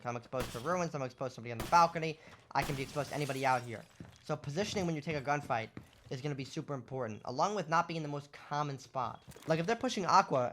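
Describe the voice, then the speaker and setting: A young man with a voice going hoarse, casually explaining shooter game tactics over gameplay and often checking in with "right?". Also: a little high, fairly bright tone, slight background noise. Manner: explanatory, rhetorical questions, lots of "right?". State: losing his voice. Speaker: gaming content creator. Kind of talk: casual gameplay tips commentary.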